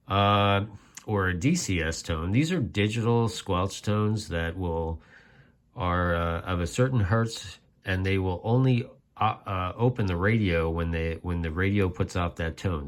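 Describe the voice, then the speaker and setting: Man, low voice, informal host explaining things conversationally, folksy asides. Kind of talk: casual explainer.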